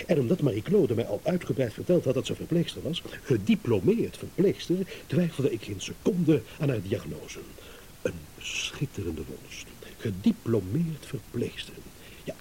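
An actor playing a shrewd, self-satisfied motorist delivering a deliberate, ironic, accusing monologue in a radio play. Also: male voice, medium pitch, mellow, lightly acted, rough recording. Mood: wry, reflective. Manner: theatrical, deliberate, ironic, with dramatic pauses. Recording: hissy old radio play recording.

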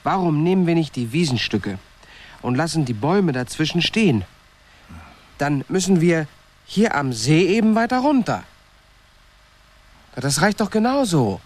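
An actor in a radio drama playing a blunt, practical settler leader who talks tersely and questions everything. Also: male voice, on the high side, sing-song, measured pace, long pauses, darkish tone. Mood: irritated, challenging. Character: Lionel, blunt, practical settler leader, grumbly but fair. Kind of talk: naturalistic German radio drama acting.

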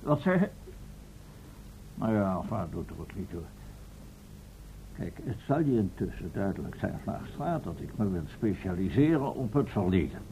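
An actor playing an eccentric, self-important professor and inventor in a Dutch radio serial, pompous and often hunting for words. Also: male voice, very animated, normal speed, pauses often, warm tone. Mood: muddled, dismissive. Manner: pompous, hesitant, searching for words. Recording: muffled phone-like old radio recording, rumbling noise.

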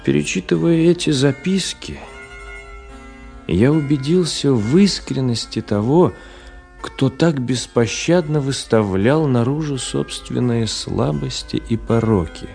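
An actor playing a reflective author who narrates a radio play in a measured, literary manner. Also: male voice, medium pitch, animated, vocal fry, darkish tone, soft background music.

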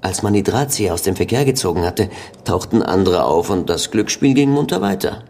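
A man's voice: low voice, expressive, brisk, a little mellow, slight background noise. An actor playing a matter-of-fact policeman giving a clipped, official report in a radio drama. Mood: factual, speculating. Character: matter-of-fact male police colleague briefing a detective.

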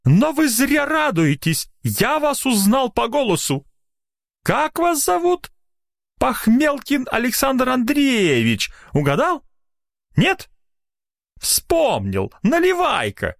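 A man's voice: high-pitched, very animated, normal speed, no echo, studio quality, voice pushed up. A man voicing an indignant, blustering, officious doctor in a narrated story, easily flustered and outraged. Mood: furious shouting. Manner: officious, blustering, self-justifying.

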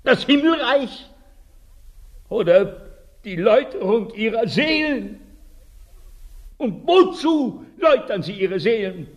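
An actor playing an anguished village priest in a radio drama, confessing haltingly in a husky voice. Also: male voice, extremely high pitch, animated, full character voice. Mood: anguished doubt. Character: guilt-ridden village priest, anguished and self-accusing.

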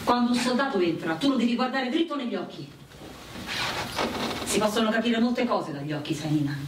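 An actress playing a proud, worldly wartime prostitute in a radio drama, brash and confiding in a theatrical way. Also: female voice, a little high, animated, a little fast, close to an everyday voice. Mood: advising, worldly. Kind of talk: dramatic radio play dialogue. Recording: roomy, distant mic with loud background noise.

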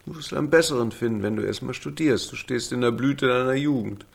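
A man's voice: medium pitch, a little fast, vocal fry. An actor playing a lonely, tipsy middle-aged father in a radio drama, wry and bitter, with a rambling, self-mocking way of talking. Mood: fatherly prodding. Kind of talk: naturalistic radio drama acting.

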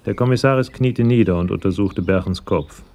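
An actor playing the sober, detached narrator of a German radio crime drama. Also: male voice, lowish voice, a little fast, darkish tone, natural voice.

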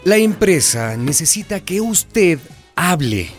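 A man's voice: high-pitched, big pitch swings, slow, soft background music, full character voice. Smug company man, swooping delivery. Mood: veiled threat. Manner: unctuous, condescending. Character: smug mining company man, smooth, flirtatious and veiledly threatening. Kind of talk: radio drama acting.

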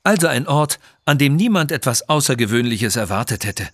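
Man as a fretful scholarly doctor, lively pitch. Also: a little fast. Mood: dejected. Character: anxious, questioning male doctor, scholarly sidekick.

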